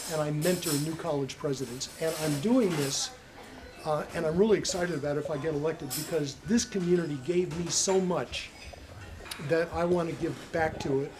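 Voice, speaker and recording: A man's voice: on the high side, poor audio. An older retired man giving an earnest short statement as a school board candidate, with many "uh" fillers. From United States. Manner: earnest, steady, with frequent "uh" fillers.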